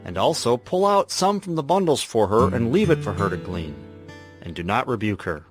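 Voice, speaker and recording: An actor plays a kindly older landowner, a man of faith and authority. His delivery is solemn and gentle with a very lively melody. Music plays under this biblical drama performance. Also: mid-range voice, normal speed, natural voice. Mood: firm instruction. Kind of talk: dramatized scripture audiobook acting.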